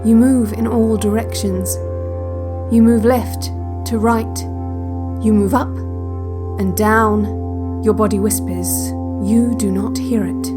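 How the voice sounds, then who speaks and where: Very slow, calm actress as relaxation tape woman. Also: mid-range voice, close to an everyday voice. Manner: measured, deliberate, instructional, with short spaced sentences. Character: calm female narrator of an eerie guided relaxation cassette. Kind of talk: slow guided visualization, scripted second-person narration. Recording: music bed under the voice. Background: music.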